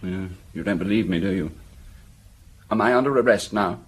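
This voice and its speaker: A man's voice: low voice, normal speed, breathy edge. An actor playing a self-pitying, shabby middle-aged man in a radio drama, flustered and pleading. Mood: flustered, evasive. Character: shifty, self-pitying, down-at-heel middle-aged old school friend.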